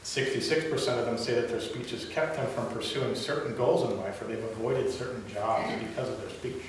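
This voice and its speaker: A man's voice: medium pitch, steady tone, brisk. A young man giving a prepared speech to a club audience, with occasional stutters and restarts. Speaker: club speaker. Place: meeting room. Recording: echoey room from far off, hiss.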